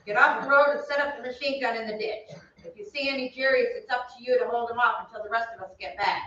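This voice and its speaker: An actor playing a brisk, commanding male army sergeant with clipped, authoritative delivery in a staged old-time radio drama. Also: medium pitch, fairly even intonation, bright, forceful tone, close to an everyday voice. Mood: brisk orders. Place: theatre stage. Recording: very echoey, distant, dull, faintly noisy stage.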